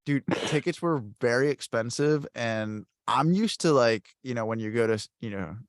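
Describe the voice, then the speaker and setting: Podcast host, man, mid pitch, dry close mic, rambling animated storyteller. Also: moderate pace, no echo. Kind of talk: casual chat.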